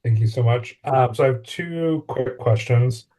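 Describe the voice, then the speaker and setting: A man with an average-pitched voice speaks as a graduate student in an online session. He lays out his questions politely and in order. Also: a little slow, warm tone. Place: virtual meeting on a video call.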